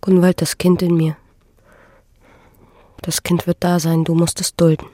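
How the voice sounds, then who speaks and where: An actress playing a distraught young peasant maid in a German radio drama, anguished and intense, with a breathy, husky voice. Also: female voice, on the low side, flat delivery, a little slow, dark tone. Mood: pleading, resigned.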